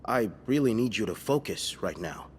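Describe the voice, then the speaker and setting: A man playing an impatient police detective in a video game, questioning a witness briskly. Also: on the low side, expressive, in a large hall, slight background noise, close to an everyday voice. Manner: brisk, interrogating.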